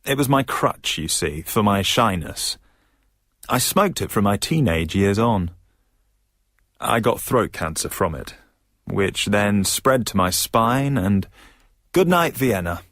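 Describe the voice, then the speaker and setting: A man playing a wry, reflective comedian in a measured radio drama monologue. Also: on the low side, lively intonation, a bit bright, no room sound, clean studio sound, full character voice.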